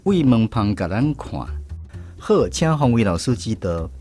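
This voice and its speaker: A man's voice: mid-range voice, sing-song, measured pace, warm tone, faint music behind. A man with a Taiwanese accent hosting a language lesson segment on the radio. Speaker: radio show host.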